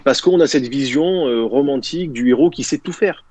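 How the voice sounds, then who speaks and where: A man's voice: mid-range voice, normal speed, darkish tone, dry recording. Rambling man on a dry video call. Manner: rambling, many fillers and restarts, gesturing with hands. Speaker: guest. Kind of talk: casual video-call discussion. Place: small room indoors. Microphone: video call, webcam.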